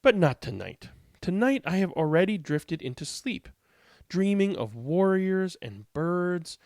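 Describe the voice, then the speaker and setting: A man's voice: sits high, sing-song, unhurried, a little mellow, no echo, studio quality. A man hosting a read-aloud, reading picture books expressively and commenting informally. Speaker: read-aloud host and storyteller.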